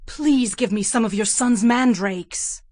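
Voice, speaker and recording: An actress playing an envious, determined younger sister and wife in a dramatized scripture reading, with emotive, emphatic delivery. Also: female voice, fairly high pitch, a little slow, a little mellow, dry recording, clean studio sound.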